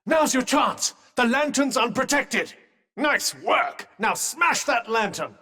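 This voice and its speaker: A man's voice: fairly high pitch, very animated, moderate pace. An actor voicing a sentient magical cuff in a video game, a dry, witty and protective male companion with a British accent and a formal, well-spoken, advising manner. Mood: urgent. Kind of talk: scripted game dialogue. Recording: long reverberant tail on voice.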